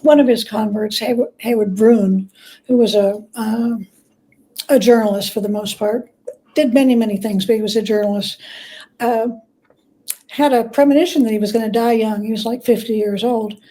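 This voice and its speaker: A woman with a soft-edged, mellow voice set a little high speaks as an interview guest. She tells stories from history in a conversational, measured way, pausing to find the next piece. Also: fairly even intonation, low-quality recording. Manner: measured, pauses to gather thoughts. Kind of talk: conversational storytelling.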